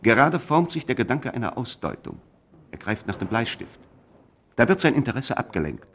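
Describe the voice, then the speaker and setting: A man narrating a scripted radio play in a measured, literary manner. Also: medium pitch, very animated, a bit bright, a little hiss or hum.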